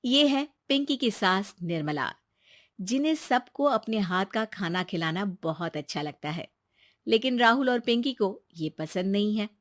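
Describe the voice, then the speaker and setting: A woman's voice: mid-range voice, animated, unhurried, no room sound, studio quality. A woman narrating a scripted moral story as its storyteller.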